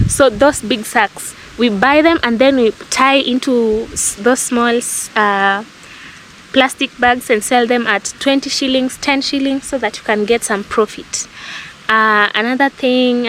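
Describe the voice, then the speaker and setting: A young woman with a Kenyan accent tells stories to her viewers in a relaxed, reflective vlog. Her voice sits a little high, and there is a faint hiss under the recording. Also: poor audio. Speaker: vlogger. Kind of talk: casual storytelling to camera.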